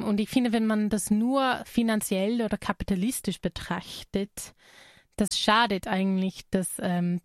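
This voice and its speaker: An American woman from Texas, a native English speaker, hosting a live film talk in a learned language with an American accent, pausing and correcting herself often. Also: mid-range voice, a little mellow, dry recording, studio quality. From Texas, USA. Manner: hesitant, many fillers and self-corrections. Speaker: film show host.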